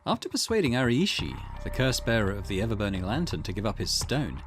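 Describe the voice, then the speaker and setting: A man's voice: lowish voice, very animated, quick pace, soft background music. A man hosting a gaming playthrough, reading visual novel narration aloud with casual asides. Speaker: gaming channel host.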